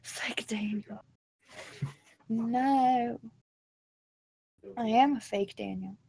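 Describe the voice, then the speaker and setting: A woman's voice: on the high side, drawn-out words, gravelly, warm tone, breathy edge. A young woman with a Southern US accent, chatting on a podcast in a rambling, giggly way. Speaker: podcast guest. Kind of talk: casual chat.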